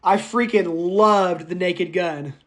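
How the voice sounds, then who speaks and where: Male instructor, raspy voice, loud and projecting, chatty lecture with tangents, no microphone. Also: high voice, takes it slowly. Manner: speaks loudly, projecting as if shouting; enthusiastic, goes off on tangents and laughs. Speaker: college public speaking instructor. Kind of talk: live online class lecture, chatty and digressive. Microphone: no microphone, voice caught by the room recorder.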